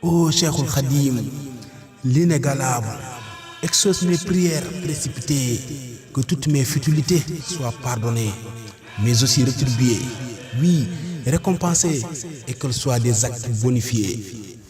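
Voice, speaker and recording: A man reciting devotional poetry as a solemn, pleading prayer, declaiming it in measured short phrases. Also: mid-range voice, over music. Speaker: poet reciting prayer poems.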